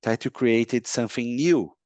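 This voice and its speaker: A middle-aged Brazilian man whose first language is Portuguese, speaking English with a Brazilian accent and often pausing to search for words on a video call. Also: medium pitch, a little mellow, dry recording. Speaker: guest, sports lawyer. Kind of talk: podcast interview.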